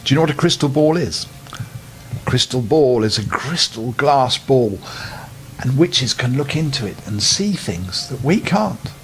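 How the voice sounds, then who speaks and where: A man's voice: medium pitch, animated, unhurried, poor audio. An older man reading a children's bedtime story expressively, speaking directly to his young listeners. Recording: clear background noise.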